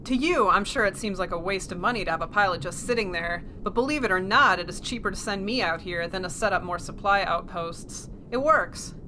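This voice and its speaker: A woman's voice: mid-range voice, a little fast, hardly any pauses, noisy background, close to an everyday voice. A woman playing a wry, matter-of-fact emergency shuttle pilot in an audio drama. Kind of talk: audio drama first-person narration and dialogue.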